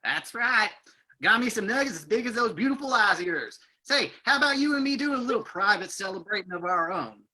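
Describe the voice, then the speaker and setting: Performer as a husky, boisterous male prospector. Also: medium pitch, expressive, brisk, sharp tone, poor audio. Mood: flirtatious, jovial. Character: boisterous, flirtatious male gold prospector named George. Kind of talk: old-time radio drama reading.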